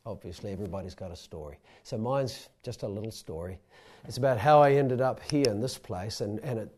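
A man's voice: lowish voice, a little fast, a little mellow. A middle-aged man with a New Zealand accent telling a rambling, self-deprecating personal story to a live audience.

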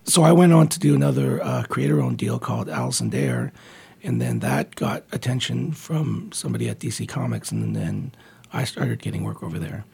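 A man's voice: fairly low pitch, huge pitch swings, moderate pace, vocal fry, darkish tone, husky. A man around forty, born in the Philippines and raised in Montreal, talking as a guest in a radio studio interview, friendly and hesitant with many ums. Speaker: guest, comic book writer.

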